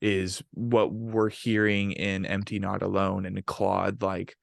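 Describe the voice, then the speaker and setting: A man hosts a podcast with a low, gravelly voice. He is excitable and quick to laugh, swears freely, and calls his guest "bro". The sound is dry, with no room echo. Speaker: podcast host and vocalist. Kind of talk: casual podcast interview.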